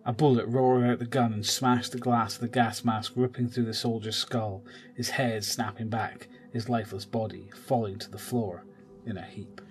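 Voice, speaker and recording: A man's voice: medium pitch, steady tone, moderate pace. A man reading scripted narration for an audio drama.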